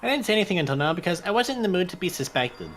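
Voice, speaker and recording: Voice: mid-range voice, big pitch swings, rapid-fire, natural voice. A performer in an amateur game fan dub voicing a guarded, defensive young woman in a blunt, dismissive manner. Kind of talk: fan dub of an anime detective game, breaking into ad-libs. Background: background music.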